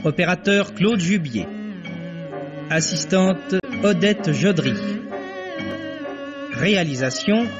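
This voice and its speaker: A man announcing credits in 1950s French radio style, formal, deliberate and dramatic, on a dull vintage recording. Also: high-pitched, animated. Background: loud enough music to hear clearly.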